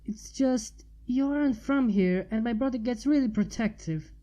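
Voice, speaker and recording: A woman's voice: fairly high pitch, moderate pace, dark tone, close to an everyday voice. A woman voicing an affectionate, anxious young female heroine in a story reading. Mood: anxious, flustered.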